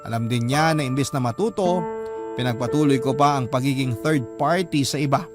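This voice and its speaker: A man's voice: medium pitch, a little fast, natural voice. A man playing a regretful letter writer, narrating his confession earnestly in a Filipino accent for radio drama. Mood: regretful, resigned. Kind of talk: scripted first-person radio drama narration. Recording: prominent music behind the narration.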